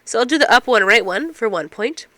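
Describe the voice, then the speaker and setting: A woman's voice: fairly high pitch, lively intonation, fairly quick, sharp tone, dry recording. A woman instructor explaining math step by step, asking and answering her own questions, while getting over a cold. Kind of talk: recorded math lecture.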